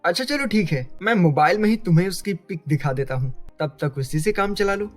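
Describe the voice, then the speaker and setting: A man voicing a lovestruck, teasing young man with an earnest, romantic delivery in an animated love story. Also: sits high, a little fast, soft background music. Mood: teasing, happy.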